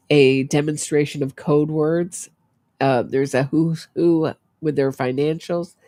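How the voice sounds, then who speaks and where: A woman's voice: low-pitched, dark tone, no echo. A middle-aged woman who sounds congested, hosting a true crime show in casual livestream commentary, chatty and thinking aloud.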